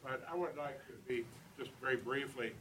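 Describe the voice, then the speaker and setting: Earnest, bright-toned man, recorded off-mic with faint room noise. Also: mid-range voice, brisk, distant mic, poor audio. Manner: earnest, advocating. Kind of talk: formal meeting remarks.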